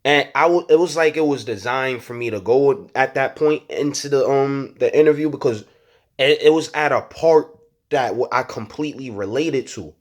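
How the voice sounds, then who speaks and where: A man's voice: mid-range voice, normal speed. A young man talking frankly and reflectively to his viewers in street slang, stuttering and restarting his sentences often. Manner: frank street slang, frequent "you know what I'm saying". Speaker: rapper and YouTuber. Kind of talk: casual reflective monologue to viewers.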